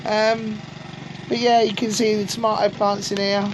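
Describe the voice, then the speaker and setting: A woman with a gruff voice from a sore throat, chatting casually and rambling with many ums as she shows round her greenhouse on camera. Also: medium pitch, little pitch movement, moderate pace. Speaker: allotment vlogger. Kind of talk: casual walk-through chat. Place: greenhouse on an allotment, outdoors. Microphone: camera on a tripod. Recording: outdoor noise, lawnmowers and traffic behind.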